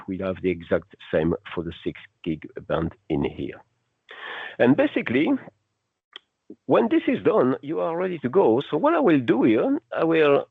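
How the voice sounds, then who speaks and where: A man who speaks English as a second language gives an unscripted, step-by-step software demo in an instructional manner. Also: medium pitch, vocal fry, dry recording. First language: not English. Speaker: product demonstrator. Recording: narrow phone-like band.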